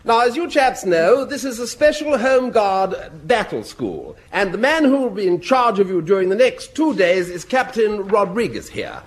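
An actor playing a genial, paternal English army major in a British sitcom, breezy and well-mannered. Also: male voice, high voice, sing-song, moderate pace, hardly any pauses. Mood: genial, brisk.

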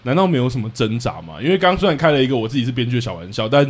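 A man's voice: medium pitch, sing-song, very fast. A man with a Taiwanese Mandarin accent hosting a casual podcast interview in a conversational way. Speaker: podcast host, playwright.

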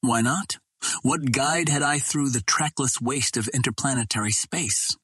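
Husky man narrating an adventure tale. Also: medium pitch, measured pace, a bit bright, no room sound, clean studio sound. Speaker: audiobook narrator. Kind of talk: scripted narration of an old adventure novel.

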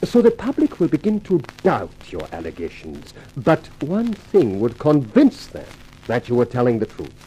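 Suave racketeer, lilting, mellow, husky. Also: male voice, medium pitch, wildly swooping pitch, poor audio. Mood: smug menace. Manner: formal, measured, urbane phrasing. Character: suave scheming racketeer, European adagio dancer, coolly courteous. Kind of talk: 1950s radio drama acting.

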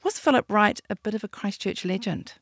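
A woman's voice: medium pitch, animated, a little fast, vocal fry, husky, dry recording, clean studio sound. A woman hosting a podcast in a conversational interview style. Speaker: podcast host.

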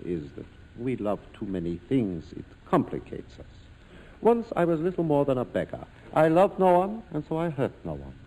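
An actor playing a courteous, reflective police lieutenant in a 1940s radio drama, speaking in a measured, formal way. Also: male voice, medium pitch, warm tone. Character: philosophical, courteous police lieutenant in colonial Saigon. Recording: old radio broadcast, long reverberant hall.

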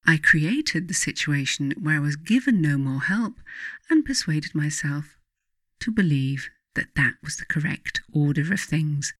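A woman reading her own reflective self-help writing as scripted narration, in measured, deliberate phrases with frequent pauses. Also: low voice, lively intonation, fairly bright tone, no echo, clean studio sound. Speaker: author reading own book, guided meditation narrator. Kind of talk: scripted audiobook narration, reflective guided meditation.

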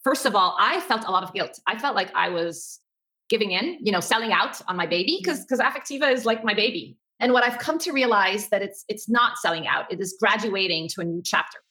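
A woman from Egypt talks as an interview guest. She is expressive and conversational, speaking quickly in a mid-pitched voice. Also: poor audio. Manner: animated, expressive. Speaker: interview guest, tech company co-founder.

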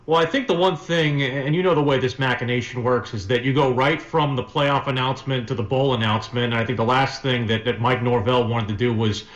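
Man, sports radio guest, mid pitch, measured and hesitant conversational delivery, echoing room sound. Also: muffled recording. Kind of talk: casual sports interview answers.

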